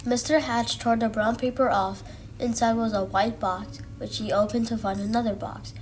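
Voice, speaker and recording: A woman's voice: a little high, steady tone, normal speed, poor audio. Woman reading a storybook over music. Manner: stumbles over words, repeats them. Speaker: student reader. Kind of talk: reading a children's storybook aloud. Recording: music playing behind the voice.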